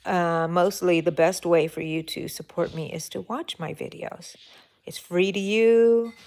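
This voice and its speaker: A woman's voice: on the low side, steady tone, a little slow, a little mellow. Middle-aged Minnesota woman, chatty livestream host, gushes and rambles. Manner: rambling, effusive, reads out chat names. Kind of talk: chatty live stream talk.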